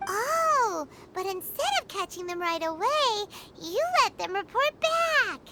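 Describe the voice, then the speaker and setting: Tiny fairy girl, extremely high squeaky voice, slow swooping delivery, naive, curious, noisy game audio. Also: big pitch swings, heavily acted. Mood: surprised, curious. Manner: squeaky, exclaiming, refers to self in third person. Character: tiny, childlike female fairy companion, naive, excitable, curious. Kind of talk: scripted video game voice acting.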